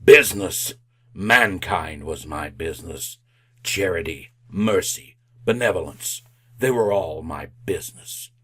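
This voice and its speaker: A man's voice: low voice, lively intonation, a little slow, a bit bright, slightly rough, heavily acted. An actor playing the mournful ghost of a dead businessman in an old-time radio drama, solemn, flowery and declamatory. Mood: anguished, forceful.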